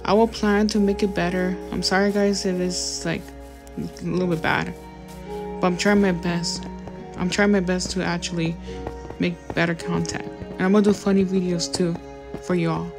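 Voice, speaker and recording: A woman's voice: fairly low pitch, level tone, moderate pace, over music. A woman talking casually over her gameplay as a YouTuber, rambling and apologizing often, with many fillers. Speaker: gaming YouTuber.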